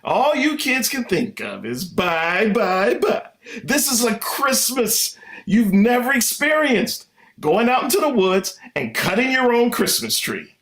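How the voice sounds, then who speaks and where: A man's voice: very high-pitched, big pitch swings, a little slow, fairly bright tone, heavily acted. An actor playing an exasperated, idealistic 1950s family father in an old-time radio comedy. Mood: firm, preachy.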